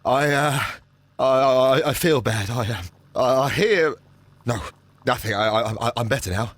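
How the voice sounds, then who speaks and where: Nervous, stammering, haunted councillor. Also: male voice, mid-range voice, unhurried, a bit bright, no room sound. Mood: queasy, distressed. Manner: heavy stammer, hesitant, apologetic. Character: nervous, haunted, guilt-ridden male councillor and archaeology enthusiast. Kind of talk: scripted video game acting.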